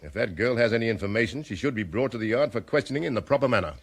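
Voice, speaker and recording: A man's voice: mid-range voice, animated, rapid-fire, slightly rough. A man playing a stiff, sarcastic police superintendent in a vintage radio drama, curt and reproving.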